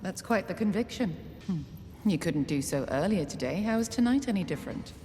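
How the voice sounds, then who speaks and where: An actress playing a poised, ambitious noblewoman councillor in an animated fantasy drama, speaking in a measured, formal, silky and calculating way. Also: medium pitch, a little hiss or hum, lightly acted. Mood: cool, probing. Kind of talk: animated drama series acting. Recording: clips with music behind the voice.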